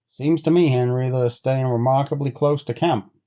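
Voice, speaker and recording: A man voicing an uneasy, insistent sled-dog driver in a storybook, speaking gravely and deliberately in rustic, old-time phrases. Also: medium pitch, fairly even intonation, mellow, muffled recording, close to an everyday voice. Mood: puzzled, probing. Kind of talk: storybook character voice.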